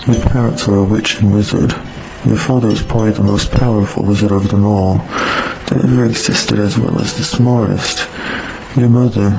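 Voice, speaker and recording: A man playing a flirtatious, powerful incubus with a gently protective streak and slightly formal phrasing, in an audio drama. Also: lowish voice, vocal fry, low-quality recording. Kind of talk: fan audio drama acting.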